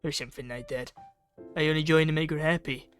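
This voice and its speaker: Higher-pitched man reading a game story aloud. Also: steady tone, fast, faint music behind. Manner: theatrical reading, breaks into offhand asides. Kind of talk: ASMR-style visual novel read-through with asides.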